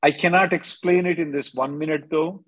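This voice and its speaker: Guy hosting a legal advice call, husky dry sound, punchy, says stuff again for emphasis. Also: on the high side, steady tone, a bit bright, no echo. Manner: brisk, emphatic, repeats phrases for stress. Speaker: immigration lawyer hosting a call-in. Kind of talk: advising callers on a conference call.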